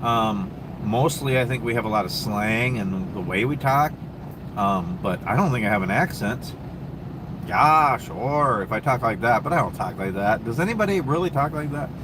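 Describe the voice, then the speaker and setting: A man's voice: medium pitch, lively intonation, normal speed, noisy background. A man with a Minnesota accent, hosting a casual livestream and answering viewer questions in a rambling, easygoing way full of ums and restarts. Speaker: livestream host. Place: truck stop, with traffic and air conditioning noise.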